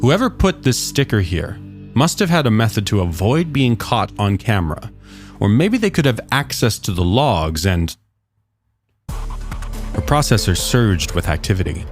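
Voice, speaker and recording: Low-voiced man narrating a short story. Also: very animated, normal speed, music in the background. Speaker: author and narrator of short stories. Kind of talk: scripted audiobook narration.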